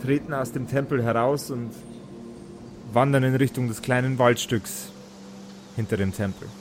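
A man narrating an improvised tabletop fantasy game as game master, in halting, deliberate phrases. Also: mid-range voice, steady tone, noisy background. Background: background music.

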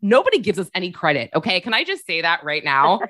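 This is a young woman hosting a podcast, animated and gushing, and she swears casually as she talks. Her voice is bright and forward, and her pitch swings widely. The sound is dry and close. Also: fast, no room sound. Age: young adult. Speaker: podcast co-host. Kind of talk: casual interview chat.

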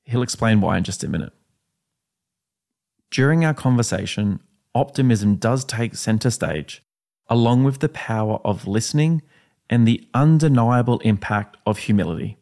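An Australian man, the podcast host, reading a steady, upbeat episode introduction. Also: mid-range voice, normal speed, creaky.

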